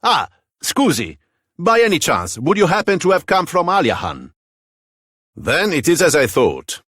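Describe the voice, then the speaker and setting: An actor playing a courteous, loyal soldier captain with an Italian accent, gallant and formal, in a fantasy video game. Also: male voice, on the high side, wildly swooping pitch, unhurried, a bit bright, husky, no echo, studio quality, exaggerated voice. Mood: courteous, earnest.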